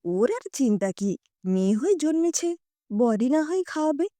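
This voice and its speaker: A woman's voice: high voice, big pitch swings, normal speed, mellow, no echo. A woman voicing an anxious, scolding mother who nags reproachfully in an audio story. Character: scolding, anxious mother nagging her son.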